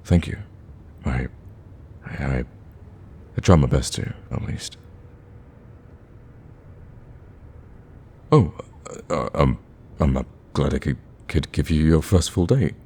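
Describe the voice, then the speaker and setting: Lovestruck male villain, played by a man for ASMR romance: rock-bottom pitch, soft rasp, hesitant and tender with a cocky edge. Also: takes it slowly, long pauses, heavily acted. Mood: flustered, shy. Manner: hesitant, stammering, intimate.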